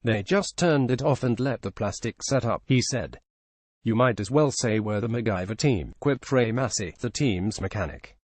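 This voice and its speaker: A man reading a feature article aloud as scripted narration, in a measured journalistic delivery. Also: medium pitch, expressive, no room sound.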